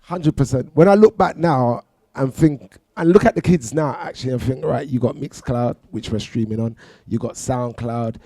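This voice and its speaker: A middle-aged man with a London accent, chatting as a guest on a radio show and telling animated stories about his career. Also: mid-range voice, normal speed, hardly any pauses, no room sound. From London, England. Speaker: guest DJ and producer. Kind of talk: casual radio interview. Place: radio studio. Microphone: studio mic.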